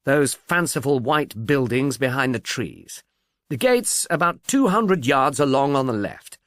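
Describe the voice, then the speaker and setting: A man voicing an irritable, witty professor of English in an audiobook, brisk and dryly emphatic. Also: mid-range voice, expressive, a bit bright, dry recording, pitched above the performer's own voice. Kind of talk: audiobook character dialogue.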